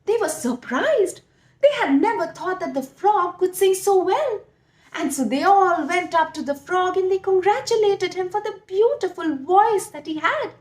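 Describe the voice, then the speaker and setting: A woman telling a story to children expressively, with dramatic, drawn-out emphasis. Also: very high voice, lively intonation. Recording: echoey room.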